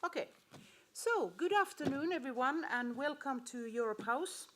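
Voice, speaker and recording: A woman's voice: sits high, lively intonation, moderate pace, noisy background. A woman hosting an event, welcoming the audience and introducing a speaker in a hesitant, informal way. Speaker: event host, European Commission staffer.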